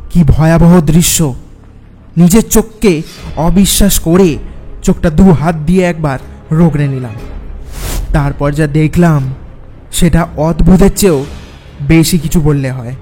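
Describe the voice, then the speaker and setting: A man plays an anxious traveller narrating his own horror tale. His voice sits a little high, dramatic and expressive, with faint music and night insects behind it. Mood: frightened. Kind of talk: first-person horror story narration.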